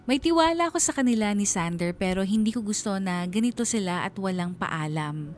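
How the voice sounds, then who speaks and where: A woman with a Filipino accent reading scripted first-person narration for a radio drama. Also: medium pitch. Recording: music behind the narration.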